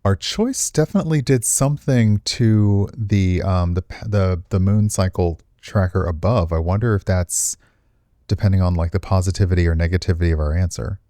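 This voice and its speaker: Male game streamer, low and dry-miked, casual and playful with a wry, teasing edge. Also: moderate pace, mellow, no room sound. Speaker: streamer playing and reading aloud a visual novel. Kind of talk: casual playful commentary mixed with reading game text aloud.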